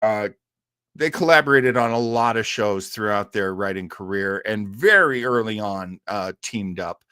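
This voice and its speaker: An American man hosting an informal talk on radio history, conversational, halting and explanatory. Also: lowish voice, pushed and bright, clean tone, dry recording, clean studio sound. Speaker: host.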